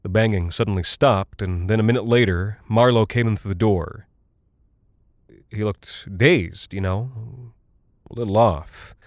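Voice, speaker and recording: Man playing a weary, low-voiced American military pilot. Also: dry recording, studio quality, close to an everyday voice. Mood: uneasy, confiding. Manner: halting, confiding, colloquial. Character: weary, rattled male military cargo pilot, chatty and folksy. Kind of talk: first-person audio drama monologue, audio log.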